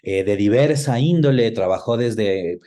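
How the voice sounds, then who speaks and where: Male interview guest. Soft, dark timbre, thoughtful conversational delivery that gropes for the right word. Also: on the low side, expressive, unhurried. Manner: hesitant, searching for words. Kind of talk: reflective conversational interview answers.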